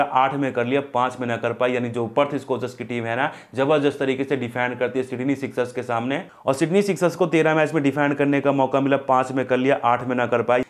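Chatty young man previewing cricket. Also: medium pitch. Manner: chatty and emphatic, addresses viewers as brothers. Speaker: cricket match preview host. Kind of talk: casual cricket prediction talk to camera. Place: indoor studio in front of a green screen.

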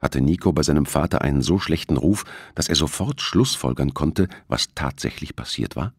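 A man's voice: extremely low pitch, expressive, moderate pace, slightly rough, no room sound, clean studio sound. A man narrating a suspense novel as an audiobook, reading from a script. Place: close, dry studio recording.

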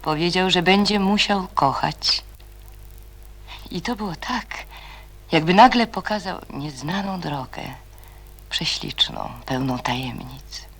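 A performer narrating a radio play as a melancholic storyteller, with a breathy, often half-whispered delivery. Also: mid-range voice, a bit bright. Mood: wistful, sad. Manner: slow, brooding, melancholic. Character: brooding, melancholic storyteller voicing characters' thoughts.

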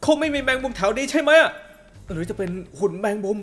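A woman's voice: on the high side, animated, fast talker, fairly bright tone. A woman voicing a goofy, cocky but easily spooked young male hero in a game fan dub, hesitant and flippant. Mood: startled, nervous. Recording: music behind the voice.

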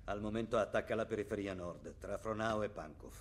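An actor playing a sober army general, giving a formal, measured briefing in a film dub. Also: male voice, on the low side, natural voice. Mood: grave.

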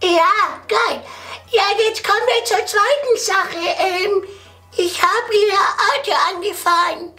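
A man voicing a small, silly, naive childlike prankster with a squeaky voice and hesitant, drawn-out words on a prank call. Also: bright, forceful tone, faint music behind, full character voice. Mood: sheepish, giggly. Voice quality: squeaky, high-pitched. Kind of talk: improvised prank phone call.

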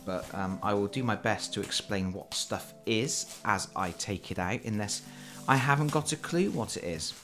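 A man's voice: lowish voice, expressive, normal speed, fairly bright tone, faint music behind. A man with a cold, hosting a casual, chatty board game unboxing and talking over his hands at a table. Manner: chatty and self-deprecating, with fillers. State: has a cold. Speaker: board game channel host. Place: indoors at a table.

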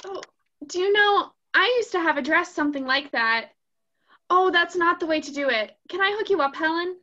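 A woman's voice: very high-pitched, moderate pace, pushed and bright. A young woman playing a sweet, tactless girl in a stage comedy, with a girlish, polite manner. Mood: innocent, tactless. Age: young adult. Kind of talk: theatrical stage comedy acting. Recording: dull, lo-fi video-call sound.

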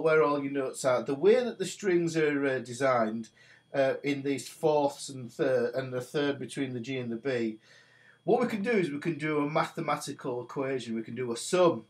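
A man's voice: a little high, a little mellow. A man in his forties with a stuffy nose from a cold, casually teaching a guitar lesson from a small home studio while he plays. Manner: chatty, talks while playing guitar. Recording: guitar notes under the voice. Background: guitar playing.

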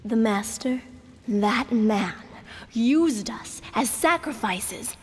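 A woman's voice: a little high, expressive, slow. An actress playing a frightened young female disciple in a horror game, speaking in an earnest, imploring way. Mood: anguished pleading. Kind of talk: dubbed horror game acting. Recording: long reverb with clear noise.

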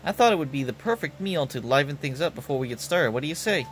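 A man's voice: a little high, expressive, quick pace, faint music behind, close to an everyday voice. A man voicing a shy, eager young male ninja in a game, bashful and eager to please.

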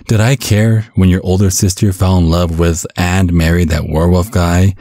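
A man playing a caring, bumbling vampire father in an ASMR roleplay, speaking gently with a stammering, reassuring manner. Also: deep voice, fairly even intonation, moderate pace, no room sound. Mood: lighthearted, puzzled. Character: caring, bumbling vampire father, looks twenty-five, over a century old.

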